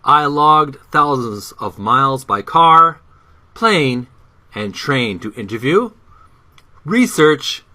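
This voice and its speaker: A man reading a book introduction aloud as narration, with deliberate, emphatic phrasing. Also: medium pitch, very animated, bright, forceful tone.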